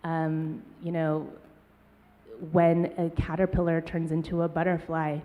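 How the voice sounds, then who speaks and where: A woman's voice: sits low, level tone, unhurried, narrow, tinny audio. A woman on a conference panel, speaking thoughtfully and searching for words with many ums. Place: conference hall. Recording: long reverb of a hall.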